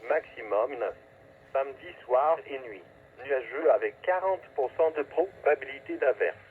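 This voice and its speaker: A man's voice: medium pitch, animated, a little slow. A man reading a scripted weather forecast as a radio announcer, in a clipped, segmented, automated delivery. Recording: tinny phone-like weather radio, static noise.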